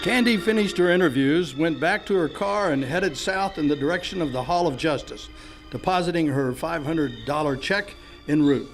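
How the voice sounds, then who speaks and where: A man's voice: fairly high pitch, normal speed, music in the background, lightly acted. A man acting as the narrator of an old-time radio detective drama, reading scripted storytelling. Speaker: narrator, amateur radio club performer.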